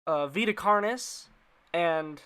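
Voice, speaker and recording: Higher-pitched man giving casual slangy commentary. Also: lively intonation, slow, sharp tone, clean tone. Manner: informal, slangy, playful asides. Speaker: video narrator.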